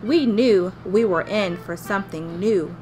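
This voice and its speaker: A woman's voice: mid-range voice, a little slow, a little mellow, faint music behind, lightly acted, low-quality recording. A woman playing a grown-up narrator who fondly and wryly recalls childhood in a scripted radio play. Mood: nostalgic.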